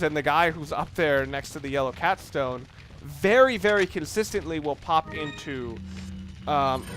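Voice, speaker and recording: A man's voice: on the high side, fairly bright tone, a little hiss or hum. A man giving casual, analytical video game commentary, rambling and correcting himself as he goes. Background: video game sounds.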